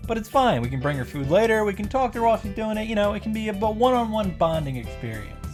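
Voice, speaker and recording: A woman giving a casual, expressive recap of a video game, reading out text and reacting with exasperation and swearing. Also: medium pitch, quick pace, over music.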